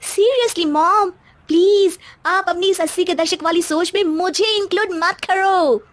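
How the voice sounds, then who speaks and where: A woman's voice: extremely high pitch, unhurried, no room sound, pitched above the performer's own voice. A woman voicing a bratty, westernised young bride in a cartoon moral story, sassy and flippant, dropping English into her Hindi. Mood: annoyed.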